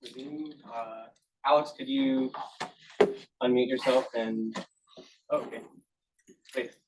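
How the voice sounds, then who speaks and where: A man's voice: medium pitch, sing-song, takes it slowly, gravelly, background noise. A man chairing a conference session, politely steering questions and introducing the next speaker. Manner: polite, procedural.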